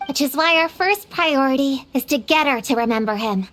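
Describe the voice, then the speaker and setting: A woman's voice: high voice, moderate pace, heavy background noise, lightly acted. A woman voicing an earnest, encouraging young girl in a video game. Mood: hopeful.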